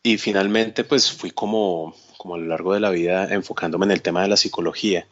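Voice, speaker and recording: A Colombian man talking casually as a guest, in a halting way with frequent tag questions. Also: sits low, fairly even intonation, highs cut off, a little hiss or hum. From Cali, Colombia. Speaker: podcast guest, psychologist. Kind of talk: casual conversational self-introduction.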